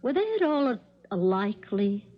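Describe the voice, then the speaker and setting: A woman's voice: medium pitch, very animated, normal speed. An actress playing a patient, doubtful stepmother in a 1970s radio drama, polite and resigned. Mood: doubtful, worried. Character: patient, doubtful, mild-mannered stepmother and wife. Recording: muffled old radio recording.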